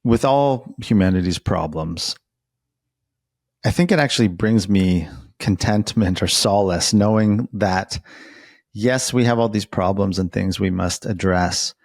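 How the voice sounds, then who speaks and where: Male interviewer: low gravelly register, close dry sound, meandering reflective delivery, casual conversation. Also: a little slow, a little mellow, dry recording. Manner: rambling, reflective, hedging. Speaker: interviewer who works as a therapist.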